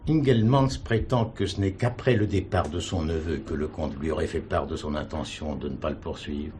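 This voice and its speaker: An actor playing a courteous, middle-aged prison chaplain in a radio drama, speaking formally and earnestly. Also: male voice, very deep voice, normal speed, darkish tone, slight background noise. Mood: insistent. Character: earnest prison chaplain, a devout pleading man. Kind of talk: 1970s French radio drama acting.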